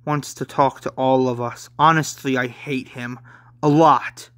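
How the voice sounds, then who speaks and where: A man's voice: mid-range voice, fairly even intonation, unhurried, fairly bright tone, dry recording, close to an everyday voice. A man voicing a fourteen-year-old boy officer in a manga story, familiar and candid. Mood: teasing, grumbling.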